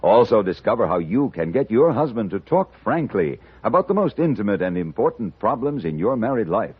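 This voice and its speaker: A man announcing a scripted 1950s radio advertisement with an emphatic sales delivery and a husky voice. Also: lowish voice, sing-song, brisk. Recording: dull old radio recording.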